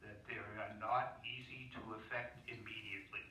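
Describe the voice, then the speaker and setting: A male actor plays a grave emergency announcer in an old-time radio drama, formal and authoritative. The voice is extremely bright and forceful, with a strong roomy echo. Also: lowish voice, steady tone. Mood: grave, urgent. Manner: measured, authoritative. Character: solemn, official emergency radio news announcer. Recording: echoey, distant, faint noise.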